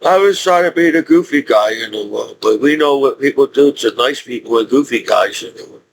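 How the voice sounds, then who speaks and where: A man in his forties speaking in a rambling, halting monologue with frequent restarts and laughs. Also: mid-range voice, gravelly, a little hiss or hum, rough recording. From United States. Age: 40s. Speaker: YouTuber.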